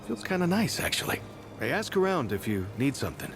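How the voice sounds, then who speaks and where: A man's voice: on the high side, sing-song, brisk. A man playing an earnest, kindly, awkward small-settlement mayor in a video game, speaking in a hesitant, friendly way. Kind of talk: video game cutscene acting. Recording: music behind the voice.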